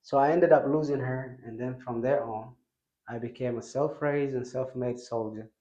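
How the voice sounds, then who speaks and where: A man's voice: mid-range voice, fairly even intonation, normal speed, warm tone, echoey room, muffled recording, slight background noise. A man from Ethiopia who grew up in Kenya, a guest telling his life story in a reflective way. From Ethiopia, raised in Kenya. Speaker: interview guest.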